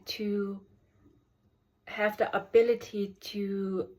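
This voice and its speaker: A woman's voice: mid-range voice, flat delivery. Hesitant woman with a German accent. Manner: halting, many ums and restarts. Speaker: special education teacher. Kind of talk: unscripted app review.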